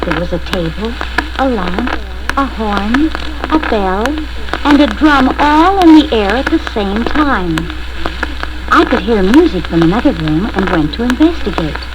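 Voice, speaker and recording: A woman reads a spooky children's story as a first-person narrator. Her voice is fairly high with very animated intonation, delivered slowly and deliberately. The old vinyl record adds clear crackle, scratches and pops.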